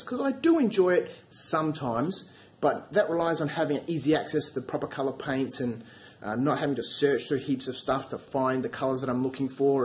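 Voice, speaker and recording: A man with a cold and a croaky voice, casually talking through his hobby work with many fillers and restarts. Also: mid-range voice, a little hiss or hum. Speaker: hobby channel host. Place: home hobby studio.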